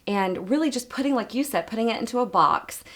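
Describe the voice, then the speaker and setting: A woman's voice: a little high, lively intonation, brisk. Chatty woman designer talking shop. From American South. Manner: chatty, warm laughs, lots of fillers. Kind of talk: casual interview chat.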